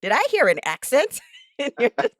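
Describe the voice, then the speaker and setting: A woman's voice: high voice, wildly swooping pitch, normal speed, no room sound, studio quality. A woman from Jamaica, speaking conversationally as a podcast host. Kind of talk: conversational interviewing.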